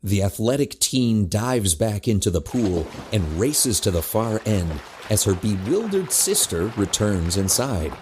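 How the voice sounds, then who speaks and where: Male narrator for scripted audio drama: low-pitched, animated reading, slightly noisy recording. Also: a little slow, hardly any pauses.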